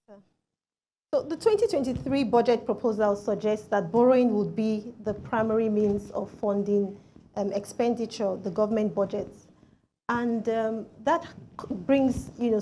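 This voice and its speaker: A woman's voice: medium pitch, mellow, a little hiss or hum, low-quality recording. A woman putting formal, polite questions as an interviewer, padding her phrases with "you know". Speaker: interviewer on a panel.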